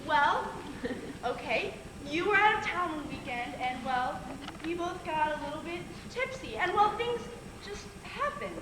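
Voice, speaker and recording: A flustered, evasive young girlfriend acted by a young woman talks defensively in an amateur stage play. Her very high, lively voice echoes in the theater. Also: a little slow. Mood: sheepish. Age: young adult. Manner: hesitant, defensive. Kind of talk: amateur stage drama acting. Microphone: distant audience camcorder. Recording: echoey, noisy distant camcorder audio.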